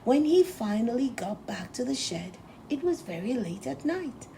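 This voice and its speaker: A woman narrating a children's storybook aloud in a gentle, deliberate, expressive storytelling manner. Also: a little high, gravelly, a little hiss or hum. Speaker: storybook reader.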